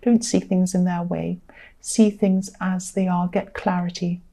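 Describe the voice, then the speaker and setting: A tired woman with a slightly croaky voice, giving a conversational tarot reading to viewers in an earnest, gently advising way, repeating phrases for emphasis. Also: fairly low pitch, flat delivery, a little slow. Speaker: tarot reader.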